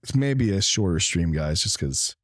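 Male streamer, low husky voice that is wearing out, halting and rambling, very dry close sound. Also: expressive, dry recording. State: losing his voice. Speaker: livestream and podcast co-host. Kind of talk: casual gaming talk.

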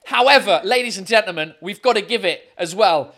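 A man co-hosting a livestream quiz, chatting casually with viewers in a loud, laughing, swearing style full of fillers. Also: high voice, lively intonation, fairly quick, a little hiss or hum. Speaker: livestream co-host running a quiz.

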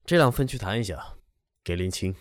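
A male voice actor as an aloof company president in an audio drama. His voice sits on the low side, and he speaks in curt, detached phrases. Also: animated, a little fast, lightly acted. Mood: cool, curt. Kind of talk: Chinese audio drama acting.

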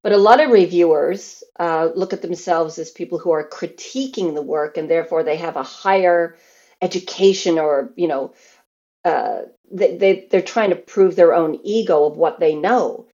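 Mellow low-voiced woman from Canada. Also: normal speed, lo-fi sound. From Canada, grew up in Newfoundland and Alberta. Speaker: interview guest, theatre performer. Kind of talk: casual interview storytelling.

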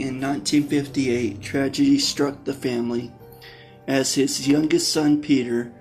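Guy host reading an intro, mid voice, really slow n halting, faint guitar behind. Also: mid-range voice, a little mellow. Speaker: show host. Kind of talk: scripted narration introducing old radio plays. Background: plucked guitar music.

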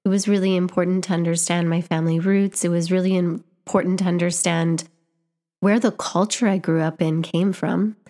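A woman's voice: on the low side, steady tone, moderate pace, warm tone. A young woman from Canada talking earnestly and reflectively to camera, often restarting and repeating words as she searches for what to say. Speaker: vlogger and teacher. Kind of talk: reflective personal vlog monologue.

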